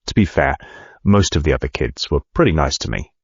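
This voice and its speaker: Give a very deep, husky corporate boss reading his memoir like an audiobook. He speaks in a measured, reflective way. The sound is close, dry and a little dull. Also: lively intonation, no room sound, exaggerated voice. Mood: wry, resigned. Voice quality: gravelly, husky. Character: adult male corporate CEO reading his own autobiography. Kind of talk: scripted audiobook narration.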